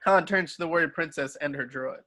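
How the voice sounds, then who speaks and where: A man's voice: a little high, fairly quick, sharp tone, soft background music. A man reading screenplay stage directions aloud as scripted narration.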